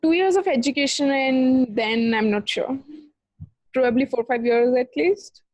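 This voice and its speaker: High-voiced young woman on a dry call. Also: a little slow, a little mellow, no echo, low-quality recording. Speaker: workshop participant. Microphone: video call.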